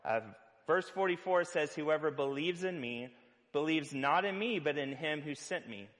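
Conversational pastor in a reverberant hall. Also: male voice, on the high side, normal speed. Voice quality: loud voice. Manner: conversational preaching with fillers and playful asides. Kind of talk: sermon.

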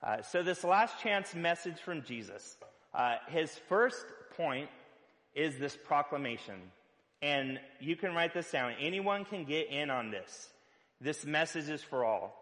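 A man preaching a sermon as pastor, loud-voiced and conversational, with filler words and playful asides. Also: fairly high pitch, expressive, a little slow, in a large hall.

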